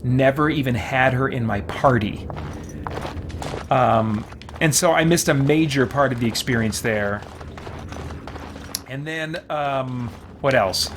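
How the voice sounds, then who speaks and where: A man's voice: medium pitch, slow pace, long pauses, noisy background. A man livestreaming a video game, chatting casually and thinking aloud in a rambling way. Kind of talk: casual chat while playing a video game.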